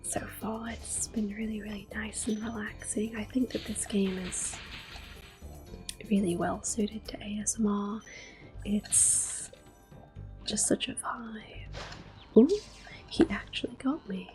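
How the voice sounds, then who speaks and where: A soft-spoken woman giving gentle ASMR gameplay commentary, often slipping into a whisper close to the microphone. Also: medium pitch, fairly even intonation, takes it slowly, pauses often. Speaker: host of a gameplay series. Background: soft game music.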